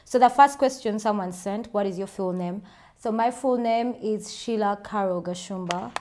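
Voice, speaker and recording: A woman's voice: medium pitch, normal speed, music in the background. A woman with a Ugandan accent, chatting casually and self-assuredly as she answers viewer questions in a vlog. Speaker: vlogger.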